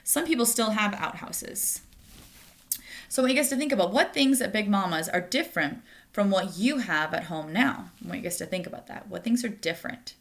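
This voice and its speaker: A woman storyteller with a mid-pitched voice reads a picture book aloud to children. She narrates in plain phrases and speaks to her young listeners directly. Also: expressive, gravelly. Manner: pauses to ask listeners questions.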